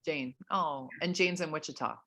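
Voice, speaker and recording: A middle-aged woman with a notably low voice interviews an author over a video call. She talks in a measured way, full of fillers and restarts. The sound comes from a home room through a call mic. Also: bright, forceful tone.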